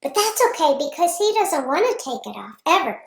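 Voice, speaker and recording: A woman's voice: sits high, very animated, fairly quick, gravelly, voice pushed up, full character voice. A woman voicing a chatty little girl on the phone, matter-of-fact and sing-song. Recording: roomy echo.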